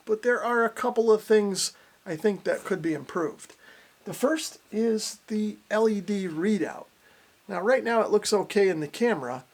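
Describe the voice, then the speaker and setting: Plain-spoken man reviewing gear. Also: high-pitched. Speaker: radio reviewer and host. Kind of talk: casual product review and demonstration.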